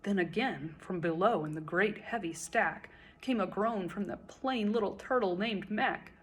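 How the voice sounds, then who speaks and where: A woman reciting a children's story in verse as a storyteller, with expressive, dramatic and deliberate phrasing. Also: mid-range voice, a little hiss or hum.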